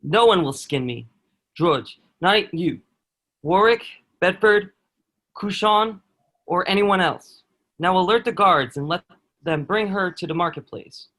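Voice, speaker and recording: A man's voice: high voice, lively intonation, measured pace, fairly bright tone, exaggerated voice. A man playing a protective, steadfast soldier and loyal friend in a historical play reading, speaking earnestly in formal period phrasing. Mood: firm, protective. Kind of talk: dramatic table read of historical play.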